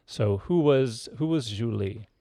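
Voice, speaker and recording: A man hosting a podcast interview, asking questions in an easygoing, somewhat halting way. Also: medium pitch, lively intonation, dark tone, no echo, studio quality. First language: not French. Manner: easygoing, halting, friendly prompts. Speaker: podcast host. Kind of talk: interviewing a guest.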